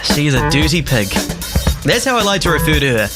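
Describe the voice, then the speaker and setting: A man's voice: on the high side, very animated, a little fast. A man with a New Zealand accent hosting a live music radio show in a playful, mock exasperated manner. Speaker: radio host. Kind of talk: live music radio presenting with listener calls. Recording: music playing under the voice.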